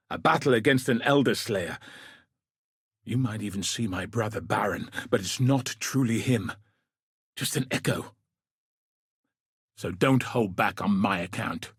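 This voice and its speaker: An actor playing a gruff, dutiful military commander in a video game, with a husky, rough-edged voice and a grave, dry, clipped delivery. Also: male voice, mid-range voice, no echo. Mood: grave, resolute. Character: gruff, dutiful male military commander sending a warrior into danger. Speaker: video game character, quest giver. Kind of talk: scripted video game voice acting.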